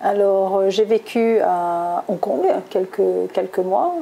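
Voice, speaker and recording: Mellow middle-aged woman from southwest France. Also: mid-range voice, fairly even intonation, gravelly, slight background noise, rough recording. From Toulouse, southwest France. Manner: hesitant, frequent pauses and self-corrections. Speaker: interviewee, executive recruiter. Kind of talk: conversational interview answers.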